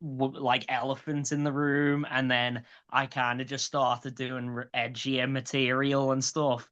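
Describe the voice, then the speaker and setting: A man's voice: medium pitch, level tone, measured pace, a bit bright, lo-fi sound. A man in his late twenties with a light Scouse accent, a stand-up comedian chatting as a guest, swearing and restarting often. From grew up in Liverpool. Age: late 20s. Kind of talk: casual interview chat.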